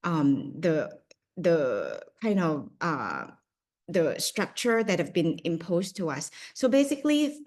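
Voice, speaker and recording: A woman's voice: on the low side, big pitch swings, takes it slowly, darkish tone. Hesitant Thai-accented woman presenting a workshop. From Thailand. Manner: hesitant, many fillers and restarts. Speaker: workshop facilitator.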